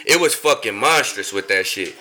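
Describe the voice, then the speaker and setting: A young man co-hosting the interview, loud and excitable and swearing freely. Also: fairly low pitch, normal speed, low-quality recording. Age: young adult. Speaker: co-host. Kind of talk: casual interview chat. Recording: faint background noise.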